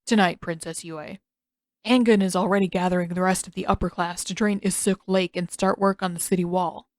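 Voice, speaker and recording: A woman's voice: on the low side, steady tone, fairly quick, no room sound, natural voice. A woman voicing a dutiful young male messenger who reports plainly in audiobook dialogue. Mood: matter-of-fact. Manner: matter-of-fact reporting.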